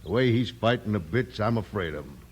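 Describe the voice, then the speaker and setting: An actor playing a worried rancher father with a folksy, halting delivery in a 1950s radio western. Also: lowish voice, expressive, slightly rough. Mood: worried, frustrated.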